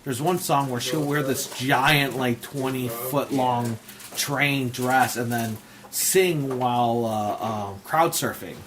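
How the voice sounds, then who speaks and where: A man's voice: medium pitch, slow, crowd noise. A man from the Detroit area hosting a casual chat, rambling with many restarts and fillers, on a clamp-mounted mic. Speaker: host. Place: room with a shelf. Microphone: mic on a flexible tripod clamp.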